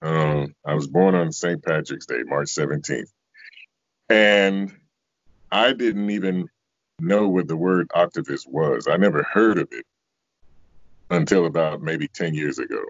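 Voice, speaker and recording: A man's voice: very deep voice, measured pace, long pauses, no echo. A man in his fifties, a singer and voice actor, answering interview questions in a reflective, conversational way. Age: 50s. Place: indoor room, video call. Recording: dull, lo-fi video call audio.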